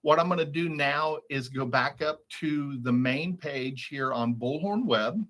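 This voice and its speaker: A man giving a step-by-step, matter-of-fact software walkthrough as a support trainer on a video call. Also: fairly high pitch, unhurried. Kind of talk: screen-share software walkthrough, instructional.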